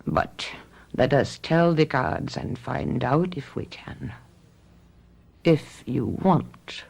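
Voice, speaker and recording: An ominous seer of a witches' coven speaks in a radio mystery. The voice is gravelly and husky, and every formal phrase comes out slowly and solemnly. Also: very deep voice, animated, a little mellow, full character voice. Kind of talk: old-time radio mystery acting.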